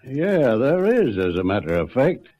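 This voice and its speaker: A man's voice: mid-range voice, big pitch swings, a little slow, warm tone. A man playing a worried, stubborn country bushman father with a rural Australian accent, drawling and ungrammatical, in a radio drama. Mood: worried, insistent. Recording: muffled old radio recording.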